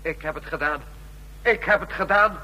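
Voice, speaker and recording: A man's voice: high-pitched, normal speed, sharp tone, full character voice. An actor playing a frantic, obsessed man who repeats himself in an overwrought way, in a radio drama. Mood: frantic anguish. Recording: long echoing reverb.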